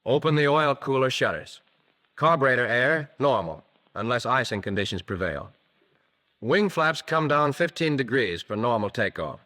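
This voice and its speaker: American guy doing 1940s training film narration, bright forceful voice, methodical, old film sound. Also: mid-range voice, expressive, moderate pace. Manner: crisp, methodical, instructive.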